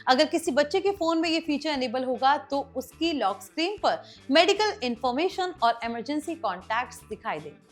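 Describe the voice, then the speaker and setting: A woman with an Indian accent hosting tech news, explaining scripted items in a presenter's manner. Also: high-pitched. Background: background music.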